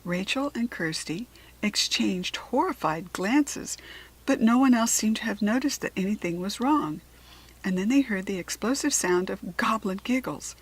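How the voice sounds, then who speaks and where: A woman with an American accent giving an expressive, deliberate narration of a children's story. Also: mid-range voice, breathy edge, poor audio. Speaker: storybook reader.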